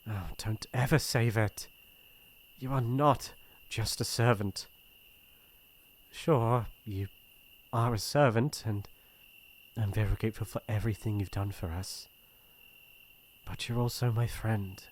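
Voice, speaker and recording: A man with a British accent playing a shy, earnest young crown prince in an ASMR roleplay, speaking in formal, hesitant, gentle phrases. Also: on the low side, fairly even intonation, slow pace, long pauses. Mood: tender concern. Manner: formal courtly phrasing, hesitant and soft-hearted.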